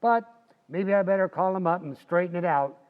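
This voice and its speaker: Man playing a cocky, high-voiced folksy husband. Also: a little fast, clean tone, narrow, tinny audio, close to an everyday voice. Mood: folksy, busy. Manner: folksy, colloquial wisecracking. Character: cocky, folksy, stubborn husband, quick to squabble. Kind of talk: old-time radio comedy acting. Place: community playhouse stage, live recreation.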